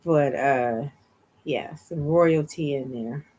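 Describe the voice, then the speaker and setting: A woman's voice: low-pitched, slow pace, dark tone, poor audio. A woman co-hosting a show in casual chat, often with short, informal interjections. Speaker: book show co-host.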